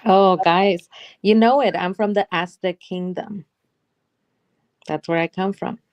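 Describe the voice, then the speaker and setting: A woman's voice: medium pitch, steady tone, unhurried, no room sound, muffled recording. A woman from Mexico, co-hosting a casual livestream chat in a friendly, upbeat way. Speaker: podcast co-host.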